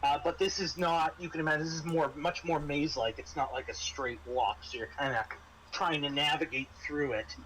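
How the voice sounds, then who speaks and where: Bright-voiced man running a tabletop game. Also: on the high side, steady tone, slight background noise, rough recording. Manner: halting, conversational delivery. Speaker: game master. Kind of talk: improvised narration of a tabletop horror game.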